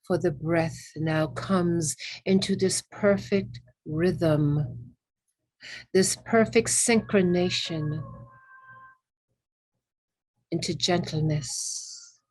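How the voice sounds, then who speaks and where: A woman leading a spiritual guided meditation in a gentle, soothing, reverent manner, with long pauses between short phrases. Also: lowish voice, breathy edge, rough recording. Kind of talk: guided meditation with ASMR elements.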